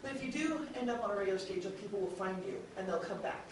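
A woman's voice: mid-range voice, animated, rapid-fire, very echoey, recorded from a distance. A woman speaks as a chatty panelist, explaining things informally with examples. The room rings heavily around her, and she sits away from the mic with faint noise in the recording. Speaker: audio drama producer on a panel.

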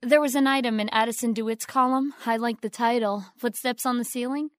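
An actress playing a humble young theater fan in a radio drama, speaking in an earnest, deferential, hesitant way. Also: female voice, a little high, fairly even intonation, fairly quick, no room sound, clean studio sound, close to an everyday voice. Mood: shy, adoring.

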